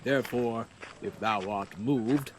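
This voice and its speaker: An actor playing a dry-witted, needling servant in a Shakespearean audio play, wry and teasing. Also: male voice, lowish voice, slow, a little hiss or hum.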